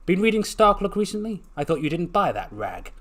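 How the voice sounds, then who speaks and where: A man's voice: sits high, big pitch swings, fairly quick, a bit bright, voice pushed up, heavily acted. A man voicing a cheeky female investigator in a story, with a chirpy, casual, inquisitive manner. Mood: probing.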